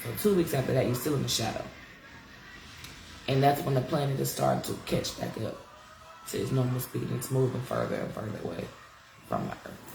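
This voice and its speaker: A woman's voice: rumbling low, a little fast, gravelly, pauses often, reverberant, music in the background, rough recording. A congested woman giving a casual live-stream astrology talk, chatty and frank, cursing freely and calling her viewers y'all. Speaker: astrology teacher. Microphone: live-stream device mic.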